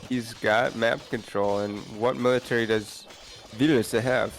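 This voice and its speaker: A man's voice: medium pitch, steady tone, normal speed, soft background music, poor audio. A man giving casual, analytical live commentary on a video game match as co-caster. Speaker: esports co-commentator.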